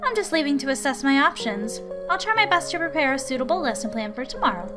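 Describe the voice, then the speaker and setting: Visual novel tutor played by a woman: formal, precise, proud, high-pitched, over music. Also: sing-song, quick pace. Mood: composed, determined. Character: formal, dutiful, proud visiting tutor named Autumn.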